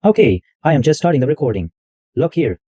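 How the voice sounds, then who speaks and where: A man hosting a screen-recorded software tutorial, narrating every click step by step in loose, repetitive wording. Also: mid-range voice, big pitch swings, fast talker, breathy edge, no echo. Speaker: tutorial host. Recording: dull lo-fi dry mic sound.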